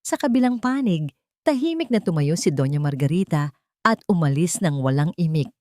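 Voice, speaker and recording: A woman narrating a scripted story in an earnest, sentimental, measured way. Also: deep voice, lively intonation, darkish tone, dry recording.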